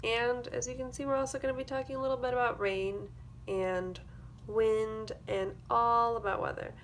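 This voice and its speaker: A woman's voice: fairly high pitch, little pitch movement, normal speed, a little hiss or hum. A woman teacher reading a picture book aloud to young children, expressively, with simple explanatory asides. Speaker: early-grades classroom teacher.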